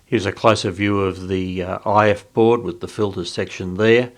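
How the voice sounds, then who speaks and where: A man giving an informal, unscripted hands-on walkthrough as a hobbyist host, speaking haltingly as he thinks aloud. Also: sits low, fairly even intonation. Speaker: video host, radio hobbyist.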